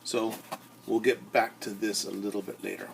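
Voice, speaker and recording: A man who is a teacher reads a storybook aloud to children in an expressive way, often turning to ask his listeners questions. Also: on the low side, moderate pace, vocal fry, background noise. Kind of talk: animated storybook read-aloud with asides to young listeners.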